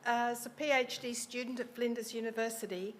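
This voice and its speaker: A woman presenter opening a pitch at a live event, speaking loudly and briskly. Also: fairly even intonation. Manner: loud, brisk. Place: event hall.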